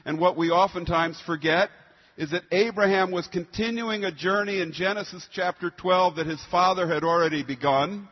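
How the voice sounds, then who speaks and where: High-voiced male preacher in a reverberant hall. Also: slightly rough. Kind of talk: sermon with scripture readings. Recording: muffled sound in a long-reverb hall.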